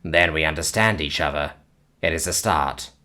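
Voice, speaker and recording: A man voicing a calm, armored male alien who speaks formally and without emotion. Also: very deep voice, normal speed, pitched below the performer's own voice. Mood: calm, detached. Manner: flat, formal, deadpan delivery.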